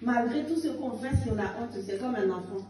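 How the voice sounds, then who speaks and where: A woman's voice: fairly high pitch, lively intonation, fairly quick, warm tone, a little hiss or hum. A woman in her fifties giving a fervent testimony and exhortation in church, with emphatic rising bursts. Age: 50s. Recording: echoey church hall picked up from a distance.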